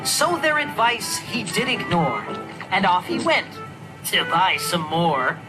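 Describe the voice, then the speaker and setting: A storyteller narrating a rhyming children's story in an expressive, theatrical, sing-song way. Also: mid-range voice, slow, rough recording. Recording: narration over loud music. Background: music.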